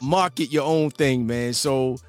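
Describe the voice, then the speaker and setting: A man from hosting a casual interview podcast, friendly and quick to laugh. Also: medium pitch, no echo, faint music behind. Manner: friendly, laughs often, says "man" a lot. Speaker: podcast host.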